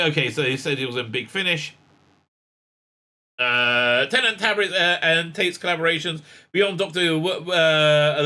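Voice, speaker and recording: Male host for a fan commentary show, bright and forceful, rambling and excitable. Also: a little high. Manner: excitable, many fillers and asides, laughs often. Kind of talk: casual commentary reading news aloud.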